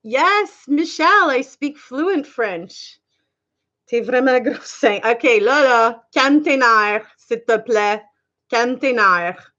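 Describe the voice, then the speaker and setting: A woman's voice: a little high, expressive, slow pace, a bit bright, dull audio. A woman of about forty with a Canadian accent, chatting casually and affectionately with viewers on a livestream in a giggly, chatty way. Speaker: livestream host.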